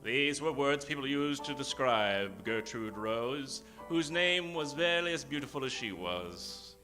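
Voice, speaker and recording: A man hosting a live old-time radio show as a grandiose, theatrical announcer with dramatic pauses. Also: medium pitch, expressive. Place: theater stage, before an audience. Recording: live stage show with music behind. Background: music.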